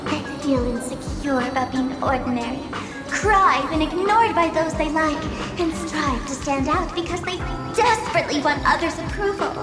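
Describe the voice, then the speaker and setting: A woman's voice: high voice, moderate pace, reverberant, loud music behind the voice, natural voice. A woman voice acting a playful, smug young female internet idol and trickster in a video game, speaking in a theatrical, teasing, grandiose way.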